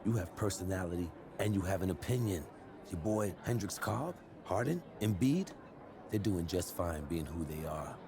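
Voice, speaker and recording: A man's voice: low-pitched, lively intonation, moderate pace, over music. A man acting a loyal, streetwise American sports agent in a game cutscene, persuasive and speaking in punchy short phrases. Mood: confident, persuasive. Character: loyal streetwise male sports agent advising a young player.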